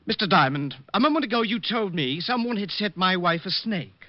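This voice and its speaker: An actor playing a haughty, sardonic husband with a pompous, precise way of talking in a mid-century radio detective drama. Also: male voice, high voice, sing-song, a little fast, no room sound. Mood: indignant. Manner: pompous, precise, indignant wit. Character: haughty, prickly, sardonic husband, fussily polite. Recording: dull old radio recording.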